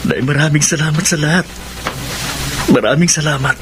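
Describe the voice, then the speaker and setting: A man with a Filipino accent playing a gentle, grateful grown son in a radio drama. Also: fairly high pitch, normal speed, noisy background, lightly acted. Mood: tender, grateful. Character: gentle, grateful adult son on his wedding day.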